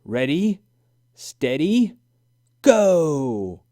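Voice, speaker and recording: A man's voice: fairly high pitch, big pitch swings, extremely slow, warm tone, dry recording, studio quality, pitched above the performer's own voice, heavily acted. A man voicing an upbeat female animal judge who announces games in a children's storybook. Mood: bright, official.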